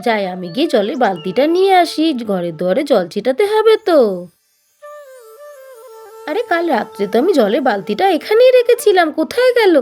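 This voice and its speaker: A woman's voice: sits high, big pitch swings, fairly quick, a little mellow, soft background music. A woman voicing a busy young village housewife in a children's doll cartoon, chattering to herself in a homely way about chores.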